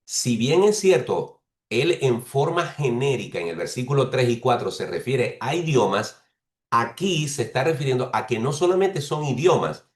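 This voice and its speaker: A man preaching a teaching sermon who explains slowly and restates each point several ways. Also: medium pitch, animated, reverberant. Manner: explains patiently, repeats and rephrases points; speaks bilingually of Spanish and English.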